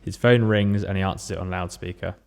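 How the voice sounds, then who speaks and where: A man's voice: deep voice, steady tone, fairly quick, gravelly, heavy background noise. A man narrating scripted stage directions at a table read.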